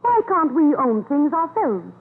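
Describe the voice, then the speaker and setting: Fiery young lady in a 30s radio play, high swooping voice, indignant, tinny old recording. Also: big pitch swings, a little slow, heavily acted. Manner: impassioned, indignant. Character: indignant, headstrong young woman campaigning for women's rights. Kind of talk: 1930s radio drama acting. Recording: scratchy, band-limited 1930s radio recording.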